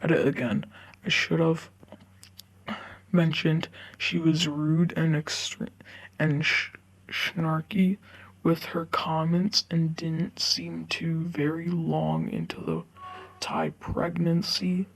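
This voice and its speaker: A woman reading online forum stories aloud in a halting way, often stumbling over the words. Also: deep voice, gravelly, low-quality recording. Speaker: narrator reading online stories for a video channel.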